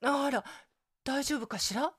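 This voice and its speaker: An actress playing a gentle, hesitant woman who runs a food truck in an audio drama, speaking politely and tentatively. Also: high voice, a little slow, faint music behind. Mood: nervous, tender. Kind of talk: Japanese audio drama acting.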